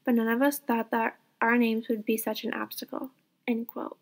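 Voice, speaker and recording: A young woman reads a student book report aloud at a careful, steady pace. Her voice sits a little high yet stays flat and even. Its tone is soft and mellow. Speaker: student presenter.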